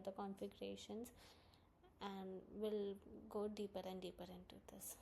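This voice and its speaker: A woman presenting an informal software walkthrough, explaining step by step in halting phrases. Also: mid-range voice, a little hiss or hum. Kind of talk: informal screen-walkthrough tutorial narration.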